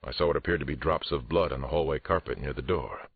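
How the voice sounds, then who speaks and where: A man's voice: quick pace, full character voice. A man reading a first-person audiobook thriller as a police detective, tense, restrained and dramatic. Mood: tense, controlled. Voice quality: very deep, husky. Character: male police detective narrator, angry but controlled. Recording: roomy, muffled phone-like audio.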